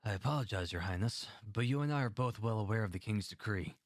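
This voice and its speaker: A man's voice: fairly low pitch, fast, dry recording, clean studio sound, close to an everyday voice. A man playing a dutiful royal guard in an audio drama, speaking formally and deferentially. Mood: dutiful, apologetic.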